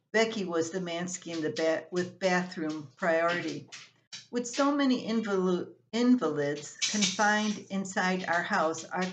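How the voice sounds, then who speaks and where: An older woman reading a scripted, humorous family column aloud as its writer, in an expressive storytelling manner. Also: fairly low pitch, slight background noise, poor audio. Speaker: columnist reading own writing.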